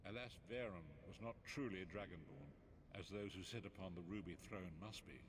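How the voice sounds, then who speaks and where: Fantasy game seer played by a man: elderly, low, grave and theatrical, over clearly audible ambient noise. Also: a little fast, lightly acted. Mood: grave, instructive. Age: older. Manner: solemn, theatrical storytelling. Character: old blind male seer, grave and theatrical prophet. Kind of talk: scripted fantasy game narration. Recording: noisy game capture, ambient sound behind.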